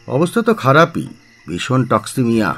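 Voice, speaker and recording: An actor playing an older small-town doctor in a radio play, grumbling, sardonic and avuncular. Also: male voice, medium pitch, huge pitch swings, normal speed, a bit bright, soft background music, full character voice.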